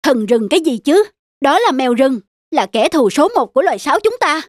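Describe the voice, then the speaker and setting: Cartoon mother bird, protective and scolding, lively delivery over children's music. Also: high voice, a little fast. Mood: stern. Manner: scolding, instructive. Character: protective mother starling, female adult bird, sternly loving. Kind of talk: animated fable dubbing.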